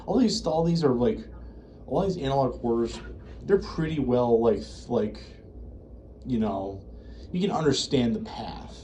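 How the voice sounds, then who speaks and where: A man's voice: medium pitch, expressive, a little slow, long pauses, mellow, a little hiss or hum. An American man giving casual, unscripted commentary in a rambling, hesitant, self-correcting way. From United States. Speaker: video creator reviewing horror series.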